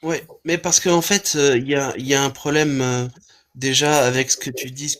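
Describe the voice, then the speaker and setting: A man hosting a live stream, calmly arguing a point with many hesitations and restarts. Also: medium pitch, normal speed. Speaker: livestream host. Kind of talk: casual debate on a live stream.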